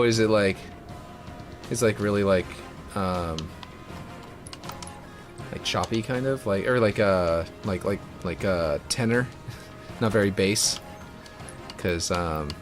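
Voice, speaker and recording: A man with a fairly deep voice chatting casually on a live stream while building a game map, rambling with many fillers and restarts. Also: a little slow, pauses often. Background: music.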